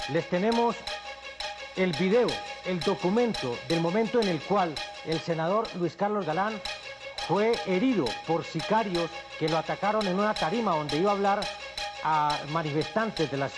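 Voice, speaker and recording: A man with a Colombian accent delivering an urgent breaking-news bulletin in a grave, halting, formal manner. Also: on the high side, over music. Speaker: news anchor.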